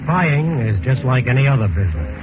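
A man's voice: mid-range voice, very animated, fairly quick. A man narrating a scripted radio drama in a grave, declamatory 1940s style. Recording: muffled 1940s radio recording, loud music.

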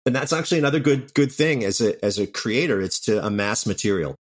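A man with a deep voice chats as a podcast guest. He explains his creative work casually and with enthusiasm, voicing little quoted remarks as he goes. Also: fast talker. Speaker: writer and director, podcast guest. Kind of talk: casual interview talk.

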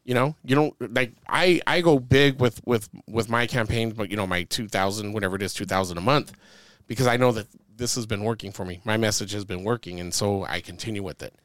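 A man's voice: lowish voice, a little fast, a bit bright, no room sound. A man co-hosting a podcast, giving casual marketing advice in a rambling way, full of fillers and sports analogies. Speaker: podcast co-host.

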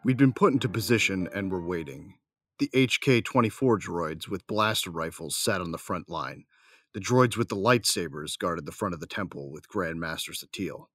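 An actor as an armored warrior narrating a sci-fi audio drama. He has a very deep voice, recorded dry and close, with a wry sense of humor. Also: normal speed, fairly bright tone, no echo. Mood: wry watchfulness. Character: armored male warrior, wry, affectionate, first-person narrator.

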